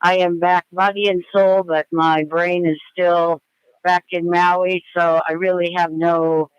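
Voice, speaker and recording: A woman's voice: lowish voice, flat delivery, unhurried, clean tone, no echo. A woman, a team staff member, checking in informally over two-way radio. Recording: slightly dull, dry radio transmission.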